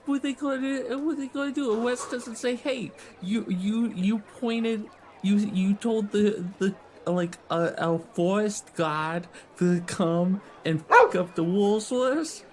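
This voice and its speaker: Higher-pitched male voice, slow pace, slangy and sweary, tabletop game player, improvised dialogue. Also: slight background noise. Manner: casual slangy speech, swearing. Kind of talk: improvised in-character chat.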